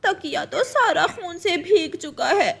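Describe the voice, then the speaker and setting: A woman as high-voiced, worried mother crow. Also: expressive, vocal fry, exaggerated voice. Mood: sorrowful. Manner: slow, earnest, admonishing. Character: Gori, worried reproachful mother crow and wife. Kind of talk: acted dialogue in Hindi moral cartoon story.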